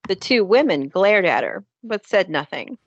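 A woman's voice: medium pitch, very animated, normal speed, slightly rough, no echo, dull audio. A woman narrating a scripted fantasy drama.

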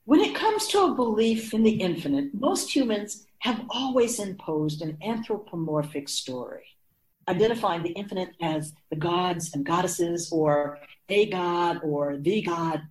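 A woman's voice: lowish voice, animated, measured pace, low-quality recording. A woman playing an assured immortal keeper of souls in a radio drama, explaining things in a composed, formal, didactic way. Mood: instructive. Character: feminine-voiced immortal spirit keeper of souls, assured and instructive.

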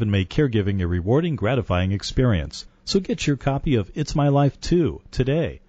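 Low-voiced man reading promos. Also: a little mellow, no echo, muffled recording. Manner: emphatic, list-like promo delivery. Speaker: ad announcer. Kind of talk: scripted promo read.

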